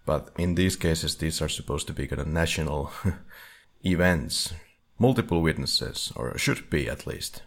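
Male co-host, bottom-register voice with heavy fry. Casual, halting, hedging analysis. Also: extremely low pitch, expressive. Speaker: podcast co-host.

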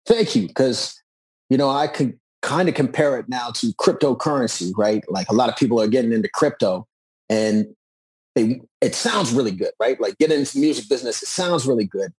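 Male, 40s, slightly raspy mid-pitched voice, earnest interviewee speaking conversationally. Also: brisk, a little mellow, poor audio. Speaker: musician and author being interviewed.

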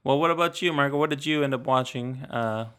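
A man co-hosting a podcast, asking questions and teasing his friends with quick reactions. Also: mid-range voice, fairly bright tone, smooth. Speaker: podcast co-host. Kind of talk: casual chat.

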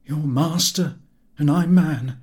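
A man's voice: fairly high pitch, slow pace, darkish tone, voice pushed up. A man voicing a stubborn, genial old working-class yacht captain in a storybook, with deferential, folksy rustic speech. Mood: solemn. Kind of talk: storybook character voice.

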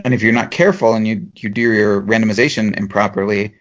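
A man, a professor co-hosting a podcast, explaining ideas in a measured way with dry humour. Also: on the low side, steady tone, fairly bright tone, dull audio. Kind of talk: explanatory chat.

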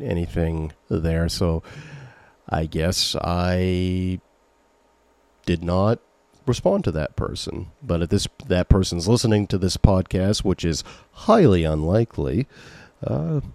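A man's voice: low-pitched, darkish tone, no room sound. A middle-aged man with a Maine accent hosting a podcast, chatting loosely and rambling as he reacts to listener questions. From Maine, USA. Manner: chatty, rambling, reads listener messages aloud. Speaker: podcast host. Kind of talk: casual solo podcast talk.

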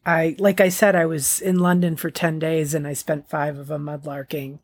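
Dry-sounding, fairly low-voiced woman host. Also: normal speed, no room sound. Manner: excitable, stumbling over words. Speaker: podcast host. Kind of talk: interview hosting.

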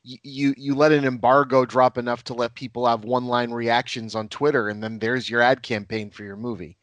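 A man's voice: medium pitch, level tone, a little fast, fairly bright tone, dry recording. Eager stammering male podcast host, dry sound. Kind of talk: casual interview.

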